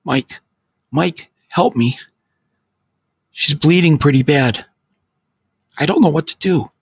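A man's voice: high voice, a little slow, husky, dry recording, voice pushed up, full character voice. Man doing a sobbing panicked teenage boy. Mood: panicked, tearful. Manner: stammering, pleading. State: crying. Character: panicked fifteen-year-old boy, sobbing.

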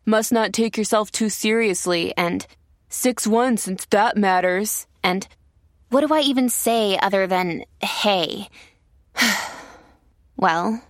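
A woman's voice: medium pitch, no echo, studio quality. A woman reading a conversational, scripted advert voice-over.

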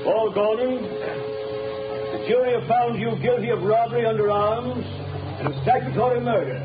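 An actor playing a solemn, severe judge in an old-time radio drama, formal and declamatory. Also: male voice, very high-pitched. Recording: dull old radio recording with music.

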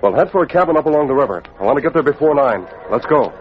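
An actor playing a resolute masked crime-fighter, husky, decisive and commanding, in a 1940s radio drama. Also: male voice, mid-range voice, expressive, very fast, faint music behind, natural voice. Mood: determined. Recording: muffled old radio recording.

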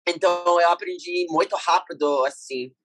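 Young man speaking learned Portuguese haltingly. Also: sits high, no room sound. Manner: halting, searching for words in a learned language. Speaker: guest athlete. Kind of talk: casual interview chat.

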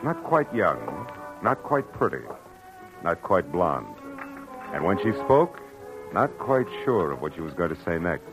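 An old radio crime drama recording with music under it. A low-voiced, sardonic private eye talks in a world-weary, hard-boiled manner. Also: male voice, very animated, unhurried, poor audio. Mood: wry narration. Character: wry, hard-boiled male private detective narrating his case.

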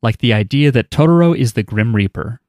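A man's voice: medium pitch, lively intonation, a little fast, a little mellow, no room sound. A man hosting a podcast, chatting casually and reading out listener email with offhand, wry asides. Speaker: podcast host.

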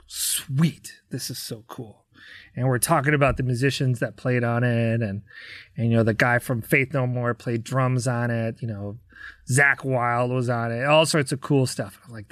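An American man, a musician being interviewed, telling anecdotes in a casual, animated way and acting out the dialogue. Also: mid-range voice, dry recording, studio quality. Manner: conversational, acts out quoted dialogue. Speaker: interview guest, rock drummer.

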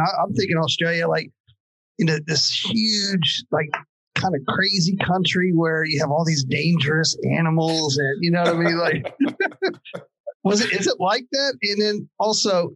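A man's voice: a little high, a bit bright, no echo, low-quality recording. A male podcast host asking questions in a loose, casual way, full of "like" and "you know". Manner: loose, filler-heavy, lots of "like" and "you know". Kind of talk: casual interview chat.

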